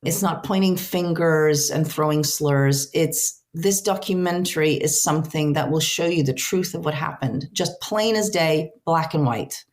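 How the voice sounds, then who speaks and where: Woman guest, low voice, native Ukrainian speaker, heartfelt and earnest, restarts a lot. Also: unhurried, few pauses. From Ukrainian diaspora, raised speaking Ukrainian. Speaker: translator interviewee. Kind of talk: reflective interview answers.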